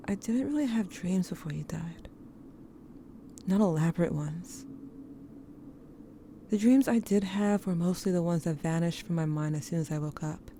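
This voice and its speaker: A woman playing a haunted, grieving diarist in an audio drama, narrating in a measured, brooding and intimate way. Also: on the low side, mellow, slight background noise, natural voice. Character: haunted, grieving diarist recounting dreams to a lost sibling. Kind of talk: scripted first-person audio drama narration.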